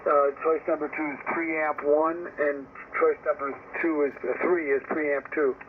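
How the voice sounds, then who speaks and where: Over a thin, narrowband radio link with clear noise, a man chats casually about technical matters. He sounds hesitant and questioning, like a hobby operator working things out. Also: fairly high pitch, little pitch movement, measured pace. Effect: radio transmission. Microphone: heard over a radio link.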